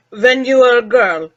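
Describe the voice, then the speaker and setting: A woman acting a grumpy, sarcastic old male professor in an old-time radio comedy. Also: fairly high pitch. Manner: sardonic, teasing insults. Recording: muffled band-limited audio.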